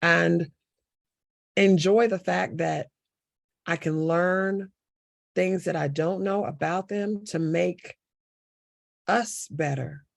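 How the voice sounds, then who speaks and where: Woman, from the American South, gravelly voice, emphatic repetition, dry room. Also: on the low side, steady tone, slow, long pauses, no room sound. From Southern United States. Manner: impassioned, repeats key words for emphasis. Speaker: city council candidate. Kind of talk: panel interview answer.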